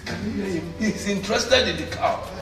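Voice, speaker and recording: A man's voice: sits high, fairly quick, echoey room, far from the mic, music in the background, lightly acted. A man playing a council member who mocks a rival with rhetorical questions. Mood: mocking. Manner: mocking, rhetorical.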